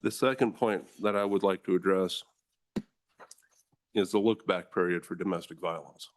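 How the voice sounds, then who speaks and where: A deep, low-pitched American man testifies before a legislative committee. He speaks with forceful conviction. He addresses the room directly, like a prosecutor making his case. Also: steady tone, moderate pace. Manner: forceful, earnest, addresses the room as ladies and gentlemen. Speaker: district attorney testifying.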